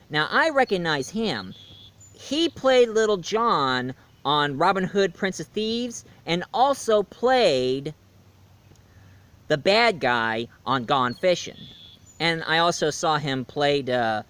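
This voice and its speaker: Chatty man reviewing films, slightly high voice. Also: fairly bright tone. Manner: chatty and jokey, with rambling retellings. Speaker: film reviewer. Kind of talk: casual spoken film review.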